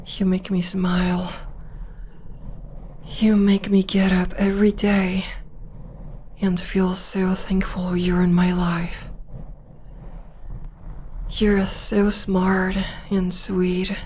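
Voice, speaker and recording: A young woman voicing a gentle, devoted witch girlfriend in an ASMR comfort roleplay, speaking softly and often in a whisper. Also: mid-range voice, level tone, drawn-out words, pauses often, a little hiss or hum. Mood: tender, soothing. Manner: soft, soothing, often whispering. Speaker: voice actor.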